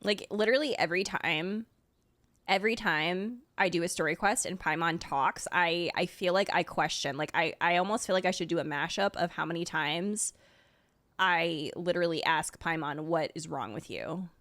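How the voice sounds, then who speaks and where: A young woman giving casual reaction commentary as a game streamer, chatty and halting, peppering her talk with "like". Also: lowish voice, a bit bright. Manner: chatty, halting, filler-heavy with "like".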